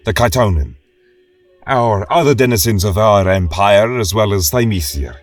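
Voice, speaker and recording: Man voicing a gentle, husky horned war veteran. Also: on the low side. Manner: earnest, thoughtful, deliberate. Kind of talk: scripted reading of a journal. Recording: distant mic with music behind.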